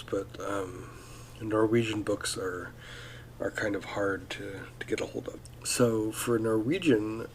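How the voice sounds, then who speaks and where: A man's voice: fairly low pitch, a little hiss or hum. A man hosting a casual solo podcast, talking in a loose, rambling way full of ums and restarts. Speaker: podcast host.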